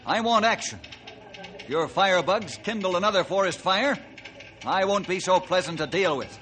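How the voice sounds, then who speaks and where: Upright forest ranger, assertive, earnest, fairly high male voice, fifties radio drama. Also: normal speed, fairly bright tone. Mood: stern. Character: Ranger Bill, firm, upright, determined forest ranger man. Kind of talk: 1950s radio drama acting.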